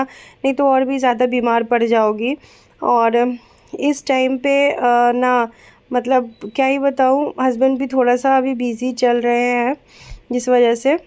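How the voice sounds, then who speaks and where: A young woman from Bihar, raised in Kolkata, narrating her casual family vlog softly and gently because of a sore throat, chatty and unhurried with many fillers. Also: a little high. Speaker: vlogger.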